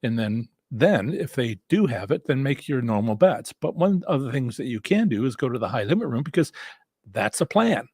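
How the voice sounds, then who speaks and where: A man with a slightly rough, husky voice, worn from talking, hosting a casual instructional live stream in a halting, rambling way with repeated restarts. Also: medium pitch, sing-song, a little mellow, no room sound, clean studio sound. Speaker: host and instructor of a live stream on slots strategy.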